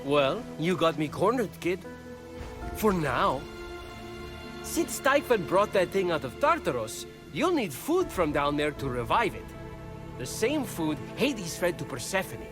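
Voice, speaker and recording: A scheming messenger god in a video game cutscene. He is glib, boastful and melodramatic, with a high-pitched voice and very lively intonation. Music plays behind him. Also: unhurried. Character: cocky, scheming, excitable male trickster messenger god.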